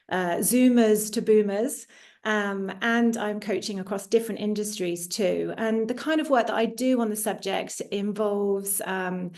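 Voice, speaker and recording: A British-accented woman in her forties speaking as an executive coach in an online masterclass, often pausing on filler sounds. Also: medium pitch, darkish tone. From United Kingdom. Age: 40s. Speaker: executive coach and guest presenter. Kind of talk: introducing herself in a live online masterclass. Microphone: video call.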